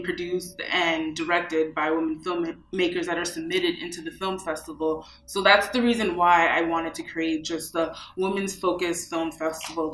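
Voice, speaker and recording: A young woman from New York with a bright voice talks about her work in a casual interview. She speaks chattily and leans on fillers. The sound has the ring of an echoey room. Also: fairly low pitch, slight background noise. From New York, USA. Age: young adult. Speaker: film festival co-founder.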